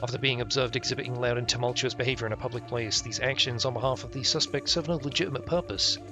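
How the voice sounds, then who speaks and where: A man's voice: medium pitch, steady tone, quick pace. A man streaming a visual novel, reading the text aloud with irreverent, offhand asides. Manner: irreverent, ad-libs jokes and mutters reactions. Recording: music playing behind the voice. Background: game music.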